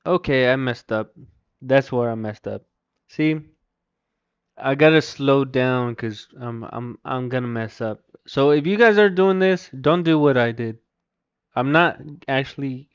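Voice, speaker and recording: A man with a Mexican accent livestreaming his first software install, thinking aloud, muttering to himself and reading chat aloud. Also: mid-range voice, unhurried, clean tone, dry recording, dull audio. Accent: Mexican Spanish. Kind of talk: casual live commentary while installing software.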